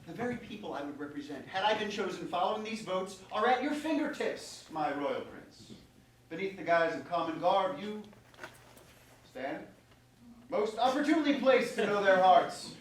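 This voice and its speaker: An actor playing a prince's reasoning companion in a staged reading of a verse comedy, coaxing in formal, theatrical lines. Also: male voice, sits high, big pitch swings, moderate pace, slight background noise. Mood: persuasive. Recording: distant mic in echoey room.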